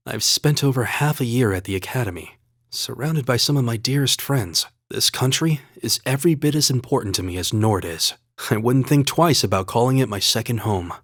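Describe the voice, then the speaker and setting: Young male warrior student, earnest, devoted, measured formal phrasing, lively intonation, video game voice, dry studio sound. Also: mid-range voice, no room sound. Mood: warm, sincere. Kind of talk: English video game voice acting.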